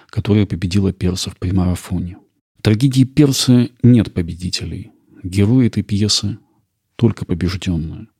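A man narrating a scripted history programme as host, in a measured, explanatory way. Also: on the low side, darkish tone, breathy edge, no echo, studio quality.

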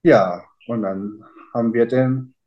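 A man's voice: mid-range voice, slow, dull audio. A man in his thirties answering interview questions casually, rambling with many fillers. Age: 30s. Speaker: interview guest, father.

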